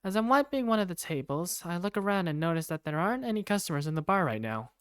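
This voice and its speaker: Female first-person game narrator, animated and conversational, slightly low pitch, light music underneath. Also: quick pace, dry recording, studio quality. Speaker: narrator and lead character voice. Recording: faint music behind the voice.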